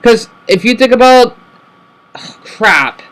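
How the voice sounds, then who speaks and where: A man talking to camera in a rambling, self-correcting way, often checking in with "right?" and slowly spelling out words. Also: extremely high pitch, lively intonation, fairly bright tone, poor audio. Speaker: vlogger. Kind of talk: rambling casual monologue.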